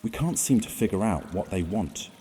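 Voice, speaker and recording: Man as a deep, mellow, grave vampire consul. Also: lively intonation, normal speed, lightly acted. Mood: grave warning. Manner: grave, dry, warning. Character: stern, dutiful, worried male vampire consul. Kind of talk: immersive fantasy audio drama roleplay. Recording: long reverb, music bed, water sounds.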